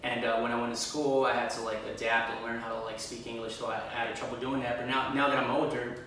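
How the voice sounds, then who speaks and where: A man's voice: medium pitch, flat delivery, fast, fairly bright tone. A young man from Los Angeles, a guest actor, talking casually and laid-back with many fillers on a living room couch. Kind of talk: casual chat. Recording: echoey room, far from mic.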